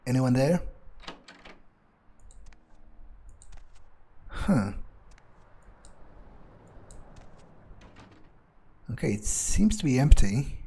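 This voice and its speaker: A man voices a sardonic vampire in a video game roleplay, muttering his thoughts aloud. The voice is husky and mid-pitched, with casual, grumbling, profanity-laced delivery. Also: expressive, moderate pace, mellow. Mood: musing. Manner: grumbling, sarcastic, swears freely. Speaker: game player narrating in character. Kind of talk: in-character roleplay monologue, casual.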